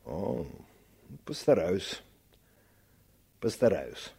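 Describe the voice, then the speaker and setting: Husky, formal colonel. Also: male voice, low voice, big pitch swings, drawn-out words, warm tone, full character voice. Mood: surprised, yielding. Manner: formal, clipped. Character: cold, formal police colonel. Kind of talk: Soviet radio play acting.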